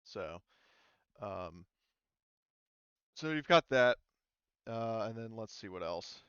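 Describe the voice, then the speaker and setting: Man, game master, smooth low voice, casual discussion, hesitant, muffled dry sound. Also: expressive, slow pace, a bit bright, dry recording. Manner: hesitant, thinking aloud.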